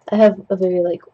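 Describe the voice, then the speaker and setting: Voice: medium pitch, very noisy. A young adult host rambling jokily with puns while painting at a desk, coughing now and then, on a webcam mic at home. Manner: chatty, self-deprecating, jokey with puns. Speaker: digital art tutorial host.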